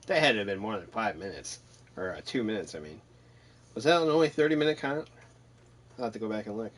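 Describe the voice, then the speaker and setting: A man's voice: fairly low pitch, animated, quick pace. A man giving casual, wry, mildly sarcastic commentary as he plays through a game. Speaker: gamer narrating own playthrough.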